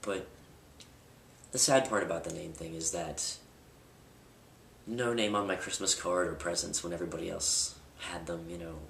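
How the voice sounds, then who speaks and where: Young man, very low voice, candid rambling vlogger, roomy distant home-camera sound with noise. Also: steady tone, normal speed, vocal fry, fairly bright tone. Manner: candid, rambling with fillers and restarts. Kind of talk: casual personal vlog. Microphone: camera mic at a distance.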